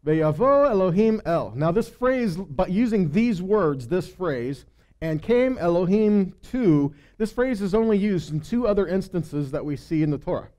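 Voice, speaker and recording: A man with a slightly high, mellow voice teaches scripture in an informal sermon style. He tells the stories like a storyteller, paraphrasing the dialogue in everyday language. He often punctuates his points with short rhetorical check-ins. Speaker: Bible teacher leading a weekly Torah study.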